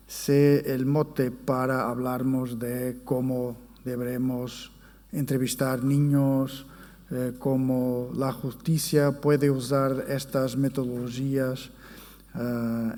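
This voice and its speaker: A man with a Portuguese accent, a native Portuguese speaker, gives a formal conference presentation, haltingly searching for words in his second language. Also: mid-range voice, a little mellow. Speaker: academic conference speaker, forensic psychologist. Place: conference hall. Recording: reverberant hall sound.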